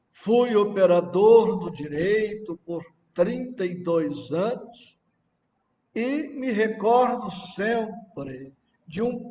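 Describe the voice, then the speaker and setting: Reverberant and far from the microphone, a Brazilian man delivers a religious lecture. His voice sits high for a man, and he moves very slowly, setting out short, emphatic phrases in a deliberate oratorical manner. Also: darkish tone. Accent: Brazilian Portuguese. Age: middle-aged or older. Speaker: Spiritist lecturer. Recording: echoey reverberant room, distant microphone.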